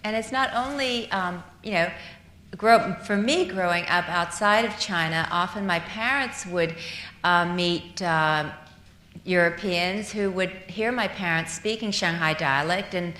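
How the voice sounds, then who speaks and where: A woman producer recalls her upbringing on stage, thoughtful and a little hesitant. Her voice is low for a woman yet bright and sharp in tone. The hall adds plenty of echo. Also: a little slow. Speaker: film producer. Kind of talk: Q&A panel answer. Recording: echoey hall.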